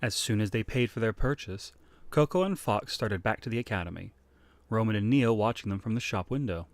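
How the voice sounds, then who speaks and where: A man's voice: fairly low pitch, animated, brisk, dry recording. A man narrating a fan audio drama. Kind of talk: story narration.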